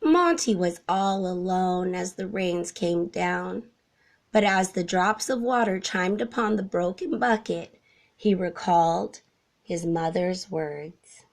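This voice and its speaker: A woman reading a children's story aloud in an expressive narrating style. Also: lowish voice, takes it slowly. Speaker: storybook reader.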